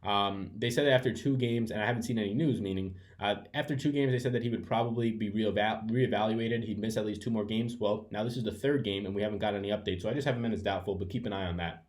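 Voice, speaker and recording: A man's voice: lowish voice, fast talker, few pauses. A man giving a casual webcam breakdown of fantasy basketball picks, rambling and correcting himself, sniffling from allergies. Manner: rambling, self-correcting, chatty. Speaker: fantasy sports analyst. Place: room at home. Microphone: webcam setup.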